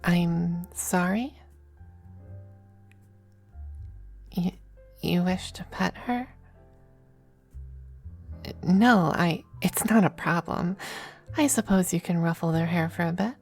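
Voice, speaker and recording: A woman playing a possessive, doting, androgynous male demon lover in an intimate ASMR roleplay, speaking softly and often slipping into whispers. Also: fairly low pitch. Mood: hesitant, indulgent. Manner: soft, breathy, unhurried, often dropping to whispers. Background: faint music.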